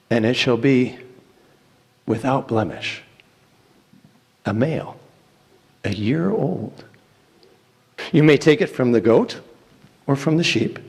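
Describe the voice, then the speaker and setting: A man giving a solemn, declamatory dramatic reading of scripture in deliberate phrases. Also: medium pitch, a little mellow, breathy edge. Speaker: church reader reciting scripture. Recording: echoey hall with long reverb.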